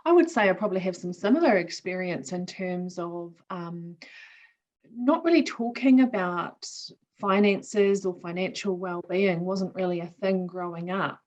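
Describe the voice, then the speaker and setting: A woman hosting a conversation on a video call, speaking in a measured way with a few ums. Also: mid-range voice, a little mellow, highs cut off. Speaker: host.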